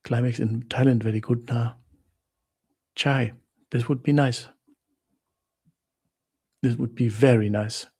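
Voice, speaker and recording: A German man with a German accent hosting a casual live question-and-answer stream, rambling with halting restarts and fillers. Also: medium pitch, steady tone, dark tone, breathy edge. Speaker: livestream host answering viewer questions.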